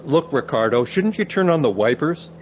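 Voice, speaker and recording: An actor playing a cautious, pedantic older professor in a naturalistic radio play, formal, dry and reserved in manner. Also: male voice, fairly high pitch, lively intonation, normal speed, highs cut off, slight background noise, lightly acted. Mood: impatient.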